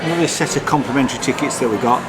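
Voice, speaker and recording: A man hosting a vlog, giving a casual, halting and blunt review to camera. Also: mid-range voice. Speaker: vlog host reviewing festival shows. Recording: loud crowd chatter behind. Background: busy crowd.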